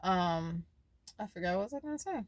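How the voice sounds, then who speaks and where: A woman's voice: lowish voice, lively intonation, brisk, no echo. Rambling, worried young woman vlogging. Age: 20s. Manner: rambling, loses her train of thought; worried, faith-filled musing. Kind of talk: casual personal vlog.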